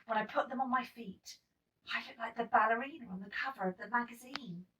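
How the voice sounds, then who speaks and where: Woman storyteller, high lively voice, expressive children's narration, recorded a little far from the mic. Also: fairly quick, a little hiss or hum. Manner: animated, emphatic storytelling with acted-out dialogue. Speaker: storybook reader.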